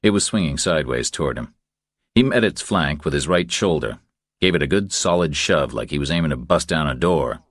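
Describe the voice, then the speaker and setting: Deep-voiced male audiobook narrator, expressive. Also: brisk, no echo, studio quality. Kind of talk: scripted thriller narration.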